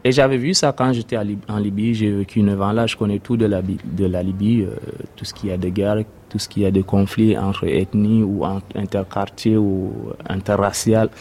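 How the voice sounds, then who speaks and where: A young man from Sudan, native Arabic speaker, giving a hesitant personal testimony with many pauses. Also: fairly low pitch, steady tone, a little mellow, slight background noise. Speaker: interviewee, refugee.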